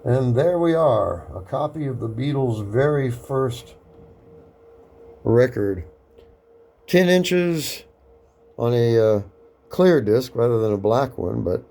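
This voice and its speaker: A man giving a casual, matter-of-fact hands-on demonstration, narrating each step aloud in an unhurried way. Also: mid-range voice, animated, mellow. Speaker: record cutting demonstrator. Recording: clear background noise.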